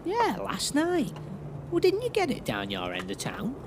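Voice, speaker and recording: A portly male dingo cab driver in an audio drama. He rambles in a folksy, grumbling way with hugely animated intonation. There is faint ambience behind him. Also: extremely high pitch, measured pace, noisy background, exaggerated voice. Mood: chatty, matter-of-fact.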